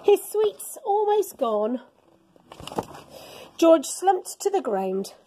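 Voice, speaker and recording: A woman's voice: very high voice, drawn-out words, a little hiss or hum, low-quality recording. A middle-aged woman reading a children's story aloud as a teacher would, expressively and dramatically. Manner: animated, dramatic storytelling with gasps and whispers. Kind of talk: expressive picture-book read-aloud for children. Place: school classroom, indoors.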